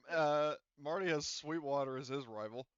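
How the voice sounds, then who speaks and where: A man running a tabletop roleplaying game as game master, casual and quick to laugh, talking through fillers. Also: a little high, clean tone, dry recording, muffled recording.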